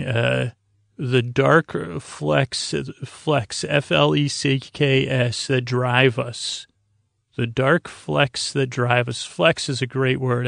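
A man with a creaky voice hosting a sleep podcast, talking in a meandering, halting, gently goofy and lighthearted way. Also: medium pitch, dry recording, studio quality. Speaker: podcast host. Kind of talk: rambling, informal sleep podcast monologue.

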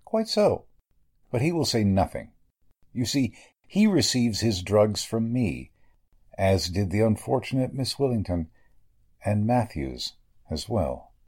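Man acting a low, husky, sinister villainous doctor. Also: sing-song, a little mellow, heavily acted. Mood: calm menace. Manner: formal, measured, condescending villain speech. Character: sinister murderous male doctor, coolly menacing narcotics dealer. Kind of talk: 1940s radio drama acting.

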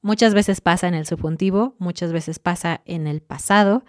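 A woman hosting a podcast for Spanish learners, giving clear, encouraging advice with lively asides. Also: on the low side, moderate pace, dry recording, clean studio sound. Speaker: Spanish teacher and podcast host.

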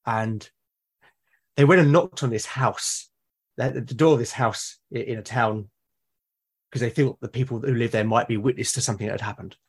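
British man with a slightly husky voice. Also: medium pitch. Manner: halting, thoughtful, self-correcting explanations. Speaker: podcast guest or co-host, tabletop game master. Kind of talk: casual reflective conversation about roleplaying games.

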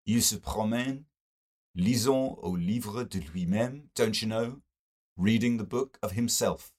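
An amiable bookish gentleman, acted by a male audiobook reader, gushing with harmless enthusiasm. His voice is lifted high and loud, and he lingers over his favourite phrases. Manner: gushing, peppered with "don't you know".

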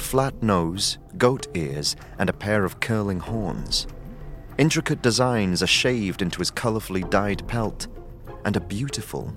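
A man narrating an audio drama in a steady, descriptive storytelling style. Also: on the low side. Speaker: narrator and producer. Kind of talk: scripted narration. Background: faint music.

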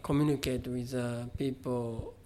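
A middle-aged man from Japan with a Japanese accent, answering interview questions about his art in a halting way, with many fillers and restarts. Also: mid-range voice, fairly even intonation, warm tone, slight background noise. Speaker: visual artist, interview guest. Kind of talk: conversational interview.

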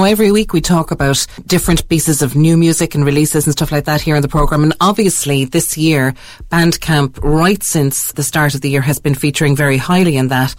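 A woman presents a radio programme in a conversational interview style. Her voice sits fairly low for a woman. The sound is dry and close, with very little room around it. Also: dry recording. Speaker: radio host.

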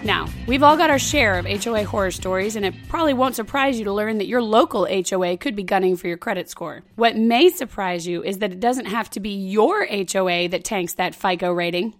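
A woman hosting a podcast with scripted but conversational, emphatic delivery, her voice rough and hoarse from a sore throat. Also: mid-range voice, brisk, few pauses, soft background music. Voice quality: rough, raspy. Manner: conversational, emphatic, directly addressing listeners. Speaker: podcast host.

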